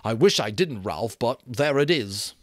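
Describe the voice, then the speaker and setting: A man's voice: mid-range voice, expressive, measured pace, no room sound, clean studio sound. Man putting on a plaintive, whining schoolboy. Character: Piggy, anxious asthmatic schoolboy, moody and plaintive. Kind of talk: dramatic audiobook character reading.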